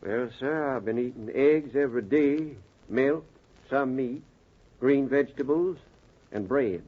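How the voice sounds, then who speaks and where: An actor playing a plain, deferential ordinary man in 1940s radio-drama style, with a husky voice. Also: mid-range voice, a little slow. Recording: dull 1940s radio recording.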